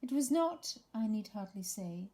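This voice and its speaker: A woman voicing a gloomy, pompous old male donkey who speaks slowly and solemnly. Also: mid-range voice, lively intonation, dark tone, voice pushed up. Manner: slow, solemn, self-pitying speech.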